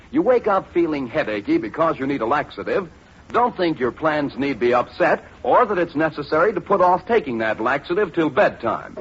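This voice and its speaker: A man's voice: fairly high pitch, a bit bright, husky. A man reading a scripted 1940s radio advertisement as an announcer, polished and emphatic. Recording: muffled vintage radio, faint noise.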